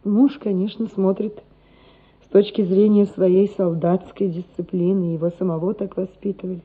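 An actress playing a loving, anguished gentry mother in a radio play, earnest, measured and emotional. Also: medium pitch, flat delivery, husky, close to an everyday voice. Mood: brooding. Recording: muffled, phone-like radio play recording.

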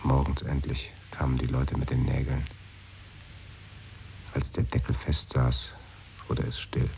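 A brooding man in a radio play, his voice sitting at the very bottom of the range. He muses slowly and often slips into a hushed whisper. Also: a bass voice, completely flat delivery, vocal fry, slight background noise, full character voice. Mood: wistful. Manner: musing, often drops to a whisper. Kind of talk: 1980s radio play acting.

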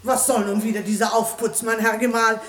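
An actress playing a sharp-tongued, sensible middle-aged wife in a 1960s German radio comedy, nagging and scornful. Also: female voice, fairly high pitch, flat delivery, a little fast, natural voice.

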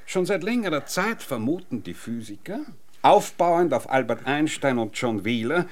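An eccentric scientist in an absurd radio comedy, acted by a man. He lectures in a grand, theatrical way, his medium-pitched voice rising and falling in lively sweeps. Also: normal speed, natural voice. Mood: pompous, matter-of-fact. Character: eccentric, naked time-theorist scientist back from apparent death. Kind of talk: absurd comic radio drama acting.

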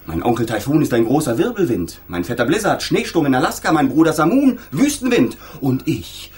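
A man playing a boastful, flighty wind spirit in a German children's radio play, blustery and sing-song, slipping into breathy murmurs. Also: medium pitch, very animated, brisk, darkish tone, lightly acted. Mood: boastful, blustering.

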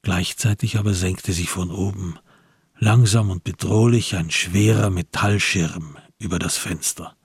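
A man narrating a literary crime radio play in a measured, deliberate and dramatic way. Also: low-pitched, steady tone, husky, dry recording, studio quality.

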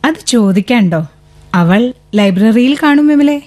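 Lively, teasing young college girl. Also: a little high, measured pace. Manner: playful, teasing. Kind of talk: radio drama acting.

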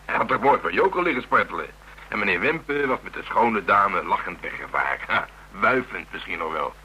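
An actor playing a gruff, sardonic policeman in a radio drama, talking colloquially. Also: lowish voice, expressive, normal speed, bright, forceful tone. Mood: sardonic, agitated. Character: gruff, grudging Amsterdam policeman nicknamed the Dragon, shaken, sardonic. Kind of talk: Dutch radio drama dialogue. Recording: hummy, noisy old radio recording.

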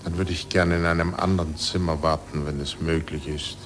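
An actor playing an uneasy, courteous scholar in a 1940s German radio drama. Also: male voice, deep voice, little pitch movement, brisk, close to an everyday voice. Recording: old radio recording with music behind.

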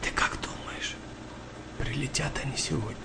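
An actor playing an anxious, superstitious young wartime sailor in a radio play, hesitant and often half-whispering. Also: male voice, medium pitch, moderate pace, creaky. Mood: uneasy. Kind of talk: Russian radio play acting.

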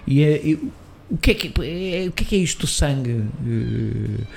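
A man's voice: mid-range voice, expressive, moderate pace, gravelly, darkish tone. A man hosting a conversational interview, who laughs lightly while asking his questions. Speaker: podcast host.

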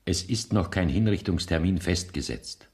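An actor playing a grave, conscientious senior judge in a radio play, speaking formally in a measured, earnest way. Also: male voice, deep voice, lively intonation. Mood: earnest resolve. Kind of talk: radio play acting in standard German.

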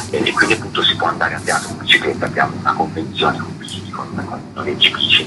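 A man's voice: a bass voice, very noisy. A man being interviewed on the radio as a festival director, explaining in a hesitant way and repeating words as he goes. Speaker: festival artistic director, guest. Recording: music playing loudly under the voice.

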